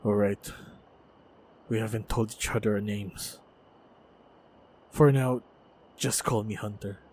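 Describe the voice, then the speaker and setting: A man playing a stoic, wry and protective monster hunter in a fantasy action audio roleplay, speaking in terse, measured, understated lines. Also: fairly low pitch, steady tone, a little mellow, a little hiss or hum. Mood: steady, resolute.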